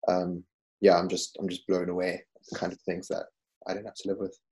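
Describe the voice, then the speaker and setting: Man, 20s, sincere, thoughtful, very low voice, dark tone. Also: completely flat delivery, fairly quick. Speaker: panel guest. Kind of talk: video call discussion.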